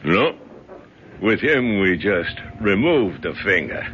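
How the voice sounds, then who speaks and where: Casting: unhurried, low-voiced mob henchman, mocking and menacing, muffled old radio drama. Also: sing-song, full character voice. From Naples, Italy. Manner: slow, taunting tough-guy talk. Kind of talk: 1970s radio drama acting. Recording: muffled, noisy 1970s radio broadcast.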